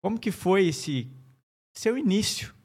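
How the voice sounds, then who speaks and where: A man's voice: sits high, big pitch swings, unhurried, a little mellow. Guy hosting an interview, chatty and enthusiastic, keeps asking questions. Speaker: interview host. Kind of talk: casual interview conversation.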